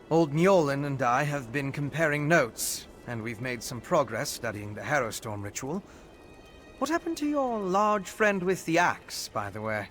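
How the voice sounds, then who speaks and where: An actor playing a genial, scholarly male vampire in a fantasy video game, courteous and measured in manner. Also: mid-range voice, a bit bright. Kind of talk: video game character acting.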